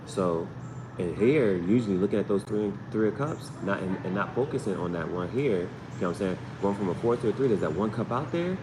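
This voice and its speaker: A man's voice: on the low side, lively intonation, brisk. A man giving a casual live tarot reading, conversational and slangy, often repeating his phrases as he thinks aloud. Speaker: tarot reader, channel host. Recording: echoey room with loud background noise.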